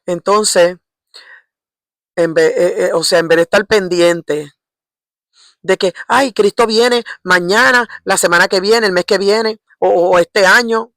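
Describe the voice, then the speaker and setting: A middle-aged woman with a Puerto Rican accent preaching informally in a halting, repetitive way, often checking for agreement with short tag questions. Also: medium pitch, no room sound, studio quality. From Puerto Rico. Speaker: lay preacher. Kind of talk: informal religious exhortation.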